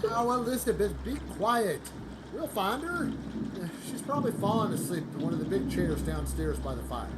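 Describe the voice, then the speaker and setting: A man playing a worried, authoritative father in a radio play, giving brisk orders. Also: sits high, animated, heavy background noise. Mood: commanding, anxious. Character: commanding, worried middle-aged father of the household. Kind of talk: theatrical radio play acting.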